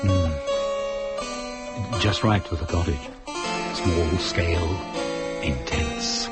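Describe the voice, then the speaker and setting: An actor playing a mild, thoughtful husband in a radio drama, questioning things in a tentative, reflective way. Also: male voice, deep voice, slow pace, over loud music. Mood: appreciative.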